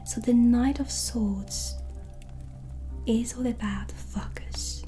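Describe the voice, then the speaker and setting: A woman whispers slowly and breathily in a mellow, soothing voice. She is a non-native English speaker playing a kindly witch in an ASMR tarot roleplay. Faint music plays behind her. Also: on the high side, steady tone. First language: not English. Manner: gentle, unhurried, soothing. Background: soft relaxing music.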